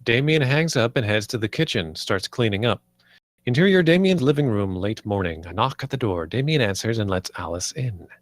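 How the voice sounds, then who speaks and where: A man's voice: fairly low pitch, animated, normal speed, no echo, studio quality. A man narrating scripted stage directions at a screenplay table read.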